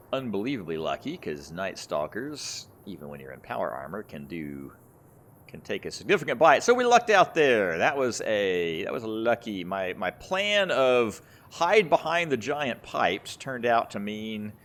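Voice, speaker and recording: A man's voice: fairly low pitch, fairly bright tone. A man giving casual, rambling commentary as he plays a video game, thinking aloud. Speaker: gaming video host.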